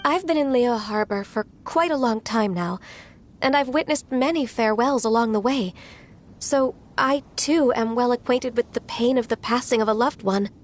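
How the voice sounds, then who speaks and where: A woman voicing a gentle, earnest young woman in a video game, speaking in a polite, sincere way. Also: a little high, moderate pace, lightly acted. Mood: earnest, consoling.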